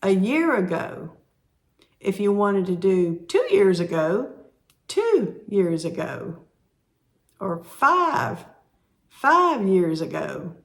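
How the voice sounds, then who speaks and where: Lady going through sign language phrases real slow, saying each word over and over as she shows it. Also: mid-range voice, big pitch swings. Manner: repeats words slowly while demonstrating signs. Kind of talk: slow instructional explanation.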